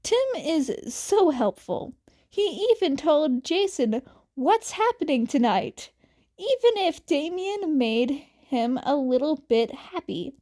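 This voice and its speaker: A woman's voice: high voice, very animated, takes it slowly, mellow. A woman narrating a piece of fan fiction as an amateur reader, in a scripted storytelling style.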